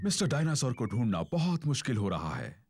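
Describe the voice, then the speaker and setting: A man playing a calm, friendly narrator of a children's cartoon, telling the story in simple, deliberate sentences. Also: medium pitch, over loud music. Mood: matter-of-fact. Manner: simple, deliberate, clearly phrased.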